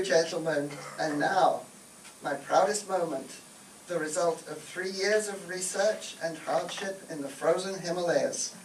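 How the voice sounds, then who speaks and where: A man playing a pompous British army major and explorer in a comic radio play, declaiming with bluster. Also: on the high side. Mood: grand, theatrical. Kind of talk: comic radio play acting before an audience. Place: live stage show in a room, picked up from afar. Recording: roomy, distant live stage recording with noise.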